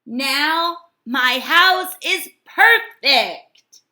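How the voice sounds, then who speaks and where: A woman voicing a friendly, eager male hermit crab from a storybook, with a polite, emphatic, drawn-out delivery. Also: sits high, lively intonation, sharp tone, voice pushed up, exaggerated voice. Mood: delighted, polite.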